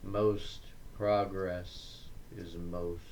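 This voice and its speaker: A man giving a dramatic recitation of Victorian verse, deliberate and declamatory, breaking the lines into short phrases with pauses. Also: low-pitched, flat delivery, darkish tone, a little hiss or hum. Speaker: reader of poetry.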